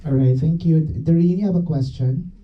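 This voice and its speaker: A man's voice: a little high, normal speed. Filipino man moderating, distant dull sound. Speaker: event moderator. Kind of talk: informal press event talk in Taglish.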